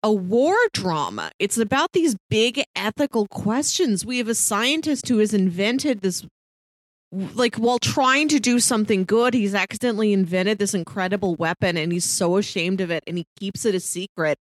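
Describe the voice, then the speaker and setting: A woman with a middling pitch talks casually as a podcast co-host discussing movies. The sound is dry and close, and her delivery is conversational. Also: animated, moderate pace, no echo.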